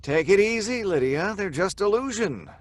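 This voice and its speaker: A skeptical, weary husband. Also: male voice, sits high, expressive, moderate pace, very noisy. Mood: reassuring. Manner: dismissive, wearily reassuring. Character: skeptical adult husband George, father. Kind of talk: live audio drama acting. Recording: live stage recording with faint music.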